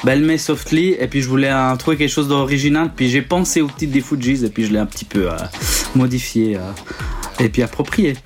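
A Swiss-raised man whose mother tongue is Italian, speaking at a middling pitch. He talks casually and hesitantly, filling gaps with ums and restarting his sentences as a guest on a radio chat. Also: a little fast, faint music behind. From Switzerland. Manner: hesitant, many ums and restarts, laughs often. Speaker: DJ and producer guest. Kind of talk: casual interview answers.

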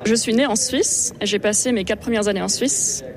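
A woman's voice: medium pitch, normal speed. A young woman from France, a researcher, answering interview questions thoughtfully with many hesitations. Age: young adult. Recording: crowd noise outdoors with traffic.

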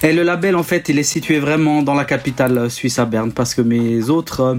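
A man's voice: medium pitch, little pitch movement, brisk, soft background music. Hesitant Swiss man with an Italian background. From Switzerland. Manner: hesitant, many ums and restarts, laughs often. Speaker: DJ and producer guest. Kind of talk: casual interview answers.

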